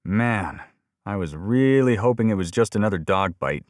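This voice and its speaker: A man's voice: lowish voice, expressive, normal speed, no echo, clean studio sound, voice pushed up, natural voice. A man voicing an exasperated, sardonic male police partner in an audiobook.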